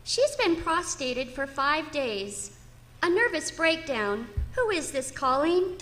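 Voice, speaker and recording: Formal reserved lady, high voice, solemn matter-of-fact delivery, radio play. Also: very animated, slow pace, a bit bright, soft background music, exaggerated voice, poor audio. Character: formal, solemn woman answering a family's household telephone. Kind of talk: old-time radio drama acting.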